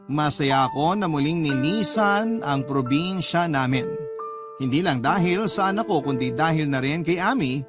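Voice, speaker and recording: Actor as reflective higher-voiced Filipino storyteller. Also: male voice, lively intonation, moderate pace, over music, natural voice. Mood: reflective, relieved. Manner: reflective, heartfelt. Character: sincere young man telling his life story. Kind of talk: first-person radio drama narration.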